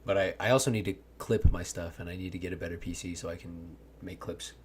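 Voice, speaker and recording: A man's voice: low voice, steady tone, quick pace, slight background noise. A young man in casual chat, rambling earnestly about grinding for his goals, swearing often and laughing easily. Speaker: content creator.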